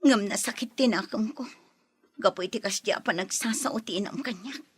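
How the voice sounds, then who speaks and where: A woman's voice: medium pitch, moderate pace, slightly rough, natural voice. A woman playing a hurt, sincere young girlfriend in a radio drama, plaintive and earnest, with a Filipino accent. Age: young adult. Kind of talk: Ilocano radio drama acting.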